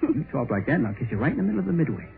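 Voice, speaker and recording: A young man playing an excitable, clowning bridegroom with exaggerated delivery in a 1930s radio drama. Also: a little high, very fast, creaky, faint music behind. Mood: playful. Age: young adult. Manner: playful, exaggerated, repetitive. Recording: muffled 1930s radio recording.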